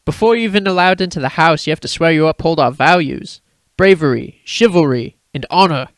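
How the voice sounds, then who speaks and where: A man's voice: a little high, a little fast, no echo, close to an everyday voice. A man acting a bold, cocky teenage schoolboy in a fan fiction audio drama, speaking in an earnest, boastful way. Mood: proud, earnest.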